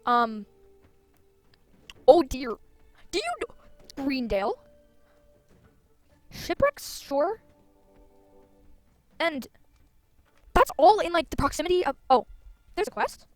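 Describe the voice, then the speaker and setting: A woman's voice: high voice, big pitch swings, slow pace, pauses often, no room sound, soft background music. A woman giving casual gameplay commentary, chattily thinking aloud as she plays. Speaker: gamer narrating own play.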